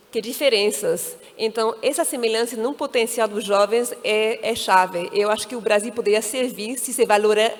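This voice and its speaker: A woman from Ivory Coast speaking on a conference panel, thoughtful, often searching for words and restarting. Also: on the high side. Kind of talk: panel discussion. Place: conference stage. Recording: reverberant hall.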